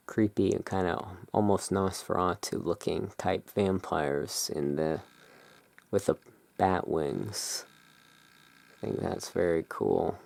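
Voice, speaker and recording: A person doing a relaxing gothic ASMR roleplay, reading tarot cards aloud and commenting on them in a hushed, unhurried way. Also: low-pitched, vocal fry. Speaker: ASMR creator, tarot deck reviewer.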